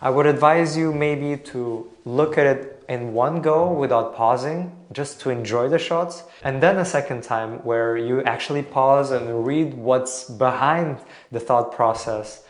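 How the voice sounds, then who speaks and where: A man from Portugal calmly explaining video composition as a tutor, with some fillers and an encouraging tone. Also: medium pitch, unhurried, few pauses. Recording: roomy echo.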